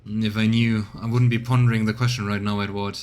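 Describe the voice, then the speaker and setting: A man voicing a proud, stubborn interrogator in a game, weakened by torture, speaking formally and haltingly in a strained, bitter way. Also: fairly low pitch, little pitch movement, a little mellow, a little hiss or hum, close to an everyday voice. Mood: pained, brooding. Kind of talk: acted reading of game dialogue.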